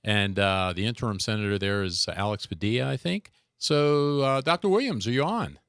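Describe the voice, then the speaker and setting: A man's voice: on the low side, moderate pace, a bit bright, clean tone, no room sound. A man co-hosting a radio show, asking questions in a casual, slightly hesitant way. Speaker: radio show co-host, interviewer. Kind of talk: casual interview.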